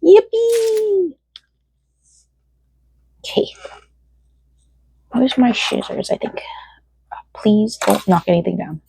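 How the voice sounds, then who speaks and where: A woman hosting a relaxed let's-play while knitting, chatting in a rambling way and laughing often. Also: high-pitched, slow pace, creaky, long pauses, husky, dry recording, poor audio. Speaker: gaming and crafting channel host. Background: faint game music.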